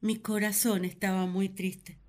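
A woman's voice: medium pitch, level tone, a little slow, a little mellow, close to an everyday voice. An actress playing an earnest, lovesick first woman who confides her feelings in a sentimental radio drama monologue. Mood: sad, hurt.

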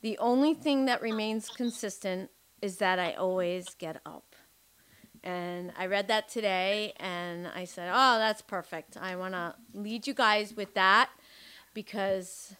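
A woman's voice: medium pitch, a bit bright. A woman hosting a podcast interview, effusive and rambling, with many restarts. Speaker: podcast host. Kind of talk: casual interview chat.

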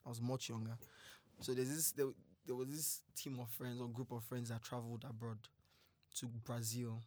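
A young man with a Nigerian accent in crude, animated casual chat, laughing often. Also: medium pitch, normal speed.

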